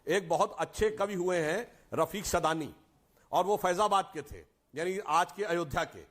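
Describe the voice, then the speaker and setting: High-voiced man arguing a TV debate point. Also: expressive, unhurried. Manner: forceful, rhetorical, lists points. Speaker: debate panelist. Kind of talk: heated TV debate argument.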